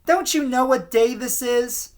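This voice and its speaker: A man's voice: extremely high pitch, a little slow, voice pushed up. A man voicing an annoyed townswoman who speaks in a raised, exasperated voice. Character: annoyed townswoman covered in glitter and glue.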